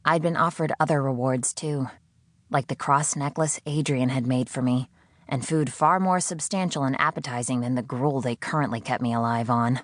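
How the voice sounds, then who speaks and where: A woman reading first-person fiction as an audiobook narrator, in a measured, expressive way. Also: extremely low pitch, no echo.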